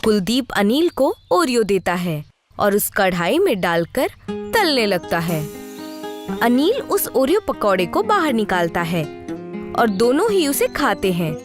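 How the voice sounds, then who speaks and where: A woman's voice: mid-range voice, very animated, measured pace, over music. A woman narrating a scripted story in a storybook style.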